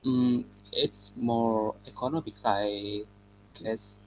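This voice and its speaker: A man's voice: mid-range voice, fairly even intonation, slow pace, a little hiss or hum, rough recording. A man tentatively offering answers in class. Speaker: student.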